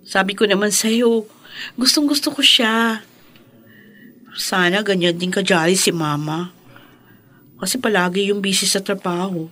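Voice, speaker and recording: A sweet, admiring young Filipina. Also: female voice, mid-range voice, unhurried, pauses often, bright, forceful tone. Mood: admiring, earnest. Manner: affectionate, encouraging. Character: sweet, admiring young girlfriend, polite and cheerful. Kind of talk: Filipino radio drama acting.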